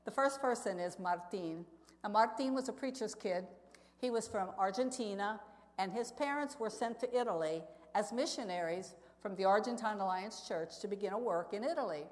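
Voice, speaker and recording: A woman's voice: mid-range voice, moderate pace. Woman preaching in an echoey hall. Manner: earnest, conversational, addresses listeners with rhetorical questions. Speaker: missionary speaker. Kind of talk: church testimony talk, storytelling to congregation. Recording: echoey hall with long reverb.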